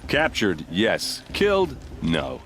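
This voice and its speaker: Very low-voiced, flirtatious warrior. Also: male voice, wildly swooping pitch, slow, a bit bright, a little hiss or hum, full character voice. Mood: flirty, boastful. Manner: teasing, hesitant, informal. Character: brash, flirtatious adult male warrior and expedition member. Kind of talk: video game cutscene dialogue.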